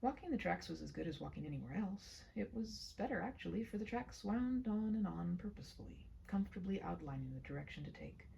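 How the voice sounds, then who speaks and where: A woman reading her own short story aloud in a hushed, deliberate way, often slipping into whispers, with slow phrasing and dramatic pauses. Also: on the low side, lively intonation, vocal fry, slight background noise, poor audio. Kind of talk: read-aloud of a literary short story, soft and ASMR-leaning.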